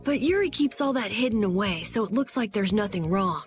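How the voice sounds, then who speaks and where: A woman voicing an eager, loyal young boy in a video game, speaking with high, emotional earnestness. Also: expressive, fairly quick, soft background music, lightly acted. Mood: earnest.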